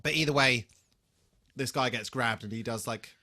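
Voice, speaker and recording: Man, bright voice, chatting casually. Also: mid-range voice, moderate pace, no room sound, studio quality. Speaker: podcast co-host. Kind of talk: casual TV episode recap chat.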